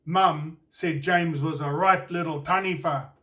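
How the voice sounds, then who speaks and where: A man with a New Zealand accent reading a children's storybook aloud in a deliberate, expressive storytelling way. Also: on the high side, distant mic. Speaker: children's author reading his own book. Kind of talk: scripted storybook narration for children.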